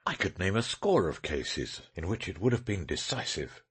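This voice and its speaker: A man voicing a brisk, eccentric detective in an audiobook, lively and matter-of-fact. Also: sits low, breathy edge, heavily acted. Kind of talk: audiobook character reading.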